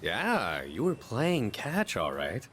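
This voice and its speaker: An actor voicing a proud but socially awkward male high school senior in a video game. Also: wildly swooping pitch, slow, a bit bright, faint music behind, heavily acted. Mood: gruff, competitive. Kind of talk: English video game voice acting.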